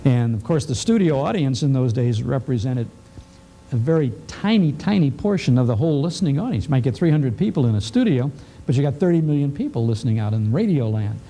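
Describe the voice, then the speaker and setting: Guy being interviewed, really mellow dark voice, medium pitch, measured with pauses. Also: lively intonation. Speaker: guest, old-time radio broadcaster. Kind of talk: unscripted interview explanation.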